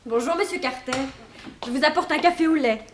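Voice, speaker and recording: A woman playing a gentle, solicitous hospital nurse in a 1960s French radio mystery. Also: high voice, fairly quick, background noise, natural voice. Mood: caring. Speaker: actress in a radio serial.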